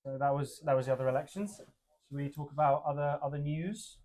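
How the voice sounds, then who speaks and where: A man's voice: a little high, brisk, a little mellow. A man co-hosting a political podcast, agreeable and hesitant, often restarting his sentences. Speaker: podcast co-host. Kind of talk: casual political chat.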